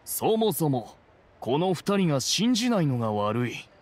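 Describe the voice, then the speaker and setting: A man's voice: on the high side, sing-song, moderate pace, a little hiss or hum. A man playing a haughty, stern immortal in human guise in an anime game, speaking in a pompous, archaic, imperious way.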